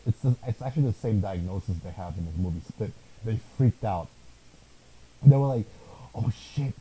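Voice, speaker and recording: A man's voice: mid-range voice, husky, poor audio. A man co-hosting a podcast, chatting casually and joking, swearing freely. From Thailand. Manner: swears a lot, animated and joking. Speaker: podcast co-host. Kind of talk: casual chat.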